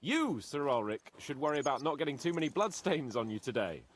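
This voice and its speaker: Haughty young knight, animated and sardonic. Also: male voice, a little high, a little fast. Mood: sarcastic, proud. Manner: sardonic, haughty, mock-polite. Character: proud, skeptical young nobleman knight. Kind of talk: video game cutscene acting.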